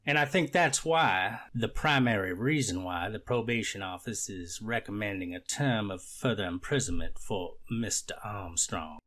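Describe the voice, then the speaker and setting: A man's voice: on the low side, moderate pace, hardly any pauses, fairly bright tone, close to an everyday voice. Man acting as an earnest, stern court official. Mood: grave, measured. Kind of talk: readers theater reenactment of a court hearing.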